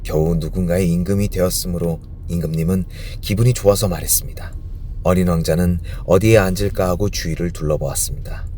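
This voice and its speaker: Low-voiced man narrating a bedtime story. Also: dark tone, a little hiss or hum. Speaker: audiobook narrator.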